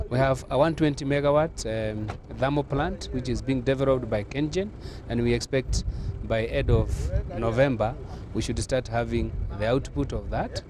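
A middle-aged man from Kenya, an energy official, speaking formally outdoors with frequent pauses. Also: medium pitch, a crowd in the background. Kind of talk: outdoor news interview. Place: outdoors at a wind farm.

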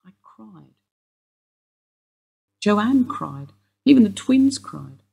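An actress playing a sharp-tongued, bitter woman in her sixties, wry and sardonic in a radio play. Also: mid-range voice, big pitch swings, very slow, vocal fry, heavy background noise, full character voice.

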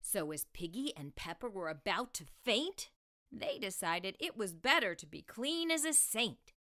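A middle-aged woman reading aloud to young children as a storytime host, with expressive, deliberate delivery. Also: mid-range voice. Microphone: lapel mic.

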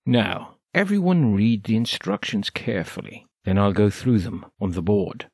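Man voicing a swooping, husky male club volunteer. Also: fairly low pitch, expressive, moderate pace, a little mellow, dry recording, clean studio sound, heavily acted. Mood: instructing. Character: male club volunteer and amateur comedian instructing a group.

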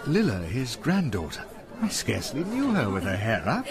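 An actor playing a blunt, wry elderly country gentleman in a radio drama, with old-fashioned turns of phrase. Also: mid-range voice, huge pitch swings, moderate pace, crowd noise. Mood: wry, genial. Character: blunt, sociable elderly English country great-uncle. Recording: radio play with loud music behind.

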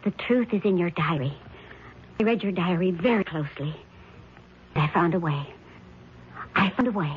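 An actress playing a frightened, suspicious middle-aged wife, halting and anxious, in a 1970s radio drama. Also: female voice, on the low side, animated, lightly acted. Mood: determined. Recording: dull noisy 1970s radio broadcast.

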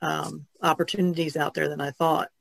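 Lady podcast guest, lowish creaky voice, thoughtful casual chat, no room echo. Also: little pitch movement, normal speed.

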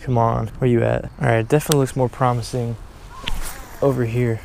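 A young man chatting casually to a head-mounted camera while fishing, talking excitedly to the viewers and to the fish he catches. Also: medium pitch, fairly quick, gravelly. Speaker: fishing vlogger. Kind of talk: casual first-person commentary while fishing. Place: outdoors by a pond and dam. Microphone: head-mounted action camera. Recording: outdoor ambience, wind and water noise.

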